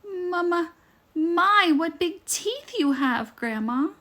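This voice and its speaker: A woman's voice: very high voice, expressive, slow, pushed and bright, pitched above the performer's own voice, exaggerated voice. A woman voicing a trusting, polite little girl from a storybook, wide-eyed and innocent. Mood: nervous surprise.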